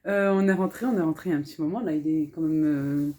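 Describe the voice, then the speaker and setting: Woman, 30s, from France, slight Arabic-influenced accent; mellow, lowish voice, hushed and chatty haul vlogger at home. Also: quick pace. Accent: French with a slight Arabic-influenced lilt. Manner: speaks softly, hushed so as not to wake children; chatty, many fillers, calm and earnest. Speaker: family vlogger. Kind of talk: casual haul vlog. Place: home kitchen, indoors.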